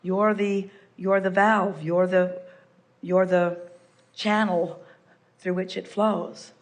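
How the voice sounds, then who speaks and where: An older woman giving a measured, explanatory seminar talk, referring to herself in the third person. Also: lowish voice, little pitch movement. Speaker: spiritual speaker answering a guest. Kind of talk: live seminar channeling talk.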